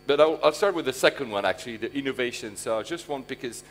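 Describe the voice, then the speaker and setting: A man's voice: medium pitch, lively intonation, fast talker, poor audio. Chatty male panel moderator, light background noise. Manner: chatty, addresses the audience directly. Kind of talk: live panel interview, informal questioning. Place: live conference panel before an audience.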